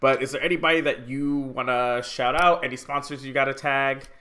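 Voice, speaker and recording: A man with a bright, forward voice hosts a casual podcast interview. He talks in a loose, chatty, friendly way. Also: medium pitch, fairly even intonation, fairly quick. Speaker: podcast host.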